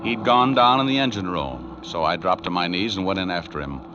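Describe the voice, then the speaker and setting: An actor playing a tough, wisecracking private detective in a 1940s radio drama, with an American accent and a wry, clipped delivery. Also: male voice, deep voice, expressive, brisk, background noise. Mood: hard-boiled, wry. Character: tough wisecracking male private detective narrating his case. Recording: muffled old 1940s radio recording, music behind.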